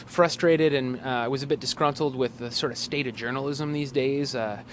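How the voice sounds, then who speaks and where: A man giving a casual interview as a guest, speaking in a hesitant, halting way with wry asides, outdoors in an alley. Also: medium pitch, fairly even intonation. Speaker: guest, actor and playwright. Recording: street noise, traffic outdoors.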